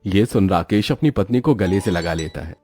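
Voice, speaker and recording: A man tells a cartoon story in a mellow, mid-pitched voice. The scripted narration is dry and close, with faint music underneath. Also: moderate pace, no echo.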